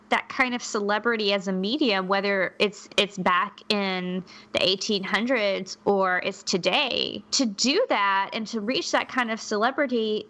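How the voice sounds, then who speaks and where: Bright-voiced woman podcast co-host. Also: medium pitch. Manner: earnest, reflective, laughs lightly. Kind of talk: casual podcast discussion.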